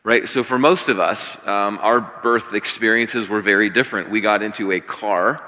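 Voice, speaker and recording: A middle-aged American man with a slightly scratchy voice, preaching to a congregation in an informal, conversational but emphatic way, with deliberate pauses. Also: fairly low pitch, sharp tone. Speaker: pastor. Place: large room, speaking to a congregation. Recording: reverberant hall, slightly dull sound.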